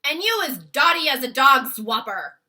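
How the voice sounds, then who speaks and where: A woman voicing a gentle, anxious male giant from a children's storybook, with timid, quaintly ungrammatical speech. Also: sits high, very animated, normal speed, pitched above the performer's own voice, exaggerated voice. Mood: defensive.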